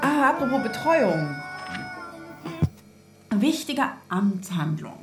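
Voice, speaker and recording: An actress playing a clever, energetic girlfriend in her thirties in a radio drama, brisk and playfully officious. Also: fairly high pitch, music in the background, rough recording. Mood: playfully bossy. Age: 30s. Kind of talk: naturalistic German radio drama.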